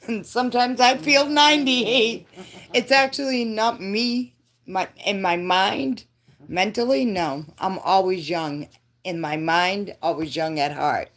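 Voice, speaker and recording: A woman in her fifties chatting casually with live viewers, laughing often and answering comments. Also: mid-range voice. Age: 50s. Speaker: livestream host.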